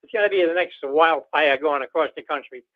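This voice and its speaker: A man's voice: fairly high pitch, fairly quick. A man calling a radio show over a phone line, chatting about politics in a rambling, folksy way full of filler phrases. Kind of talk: casual political phone-in chat. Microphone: telephone. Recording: tinny narrowband phone line.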